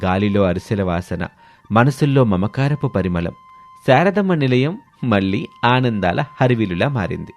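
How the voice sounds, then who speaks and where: A man's voice: on the low side, sing-song, moderate pace, faint music behind. A man narrating a scripted moral family story for listeners.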